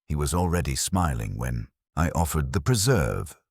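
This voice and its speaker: A man's voice: extremely low pitch, big pitch swings, normal speed, breathy edge, no room sound, clean studio sound, full character voice. A man voicing a theatrical village lady poisoner in a mystery story, speaking with regret. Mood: regretful.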